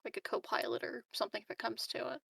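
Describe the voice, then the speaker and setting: A woman with a fairly high, creaky voice talks casually as a tabletop game player. She sounds a little away from the microphone in a dry space. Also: little pitch movement, fast, a bit bright, distant mic, slight background noise.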